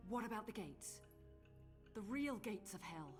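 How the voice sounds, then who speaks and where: Woman playing a haughty witch heroine over loud music. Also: mid-range voice, lively intonation, unhurried, close to an everyday voice. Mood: insistent. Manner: curt, imperious, sardonic. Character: haughty, sharp-tongued, commanding adult witch heroine. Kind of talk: video game cutscene acting.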